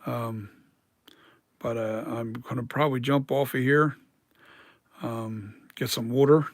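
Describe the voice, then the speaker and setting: A man with a dry, hoarse voice, talking casually on a live stream about mask making, rambling and correcting himself as he explains. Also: mid-range voice, fairly even intonation, slow pace, no echo.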